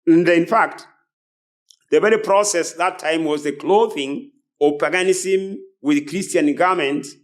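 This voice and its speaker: A man's voice: fairly high pitch, measured pace. A man preaching a sermon in a solemn, declamatory manner, repeating phrases and reading out quotations as a preacher.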